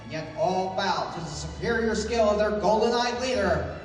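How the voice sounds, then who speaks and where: A man playing an excitable 1930s radio serial announcer, grandly theatrical, with booming drawn-out delivery. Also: natural voice. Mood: grand, rousing. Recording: echoey hall, distant mic, music behind.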